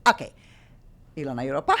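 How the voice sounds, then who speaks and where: A low-pitched woman with a Polish accent hosts a studio talk show. She rambles casually, with fillers and restarts, as she introduces her guests. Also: big pitch swings, fast. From Poland. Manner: chatty, warm-hearted, rambling with fillers. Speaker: host. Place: radio studio.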